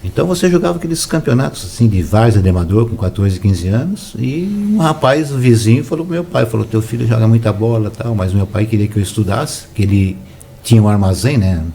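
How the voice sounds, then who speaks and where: A man, a relaxed, soft-spoken storyteller reminiscing in a casual interview. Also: fairly low pitch, a little fast, vocal fry, a little hiss or hum. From São Paulo, Brazil. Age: 60s. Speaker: interview guest, former footballer.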